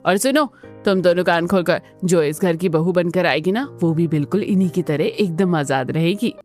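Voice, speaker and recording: A woman's voice: on the low side, moderate pace, a little mellow, faint music behind, close to an everyday voice. A woman voicing kind, caring women of a family in a Hindi cartoon, speaking emphatically and expressively. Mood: proud, warm. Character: kind, indulgent mother and her caring, chirpy daughters.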